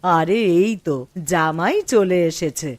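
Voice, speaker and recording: A woman voicing a gentle, motherly old grandmother in a Bengali moral-story cartoon. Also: lowish voice, slow. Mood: fond. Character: gentle old grandmother visiting her married daughter.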